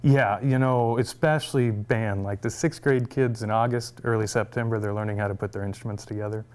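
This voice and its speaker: A man's voice: fairly low pitch, fairly even intonation, fairly quick, darkish tone, clear, resonant tone. A young man, a music teacher, answering interview questions in a friendly, upbeat way and laughing easily.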